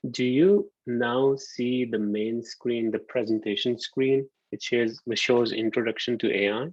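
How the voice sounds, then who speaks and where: A man from Pakistan in his thirties giving a relaxed online university lecture, often pausing with ums. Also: medium pitch, no room sound. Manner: relaxed, hesitant with frequent ums. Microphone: computer mic on a video call. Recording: dull lo-fi video call audio.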